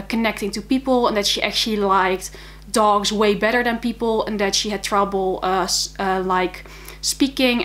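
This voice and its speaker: A woman's voice: mid-range voice, fairly bright tone. A young woman from the Netherlands with a Dutch accent, chatting casually and enthusiastically about books she read, with frequent fillers. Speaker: book vlogger. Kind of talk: casual monthly reading wrap-up.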